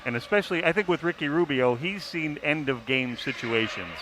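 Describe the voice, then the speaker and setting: A man giving casual sports analysis as a panelist, halting but emphatic, rephrasing his points as he goes. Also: on the high side. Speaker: sports writer on a broadcast panel. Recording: faint background noise.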